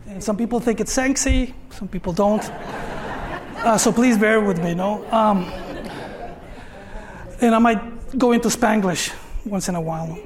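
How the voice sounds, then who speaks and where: A man in his fifties with a Mexican accent talks about his work on stage. His voice sits high for a man. He pauses often and tags many of his phrases with a questioning "no?". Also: a crowd in the background. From Mexico, Tijuana. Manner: hesitant, filler-heavy, frequent tag "no?". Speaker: artist guest. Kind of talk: conversational talk on stage.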